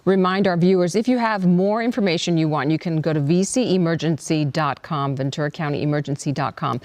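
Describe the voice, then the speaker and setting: A woman's voice: low-pitched, fairly quick, a little mellow, no room sound. A woman co-anchoring live breaking news coverage in a conversational, ad-libbed style.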